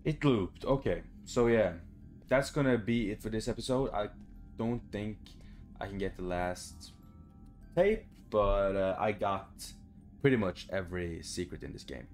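A young man with a low voice and plenty of fry in it, commentating on a game. He talks casually and swears freely, with faint music behind him. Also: a little slow, a little mellow. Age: young adult. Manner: sweary, informal. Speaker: gaming video creator. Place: small bedroom, indoors.

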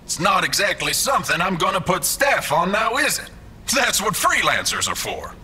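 A gloating male mastermind talks down to his hirelings in an audio drama. His voice is very bright and sharp, swinging widely in pitch, with theatrical mock courtesy and faint noise behind. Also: full character voice. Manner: theatrical, falsely polite, chuckling condescension. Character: smug, mocking male mastermind employer as hologram. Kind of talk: scripted audio drama acting.

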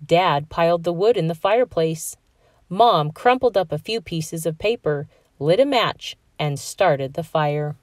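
A woman reading a children's story aloud in a measured, expressive way. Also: fairly low pitch, no echo. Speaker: storybook reader. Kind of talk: children's read-aloud narration.

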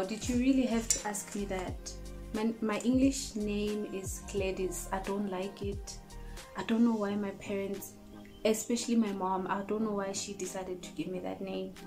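A young woman in her twenties from South Africa, chatting casually and rambling into her phone camera in a small room. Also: medium pitch. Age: 20s. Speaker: vlogger. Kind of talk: casual get-to-know-me chat. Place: small indoor room, in front of cupboards. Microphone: phone camera at close range.

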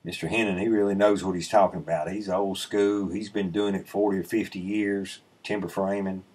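A man's voice: low-pitched, flat delivery, brisk, darkish tone, slightly rough. A middle-aged man casually explaining his sawmill work over video footage, laid-back and rambling with plenty of ums and uhs, recorded at his desk on a webcam. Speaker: sawmill channel host. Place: indoor room, voice-over recorded away from the sawmill. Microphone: webcam inset, seated at a desk.

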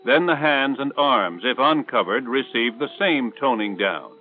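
An American man reading scripted instructional narration for a 1960s military training film in a measured, authoritative way. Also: mid-range voice, lively intonation. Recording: tinny band-limited 1960s film soundtrack.

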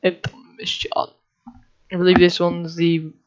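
A man's voice: high voice, completely flat delivery, takes it slowly, lo-fi sound, noisy background. A man giving casual gameplay commentary, rambling and thinking aloud as he plays. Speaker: gaming video host.

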